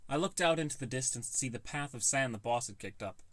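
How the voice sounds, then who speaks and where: A man's voice: medium pitch, expressive, fast, fairly bright tone, dry recording. A man reading a scripted first-person fantasy story as an audiobook narrator.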